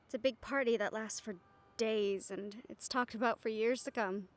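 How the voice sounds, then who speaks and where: A woman's voice: a little high, moderate pace, lightly acted. A woman playing a writer who fondly tells how her characters' stories end, in an intimate audio roleplay style. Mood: fond, wistful. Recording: music playing behind the voice. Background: background music.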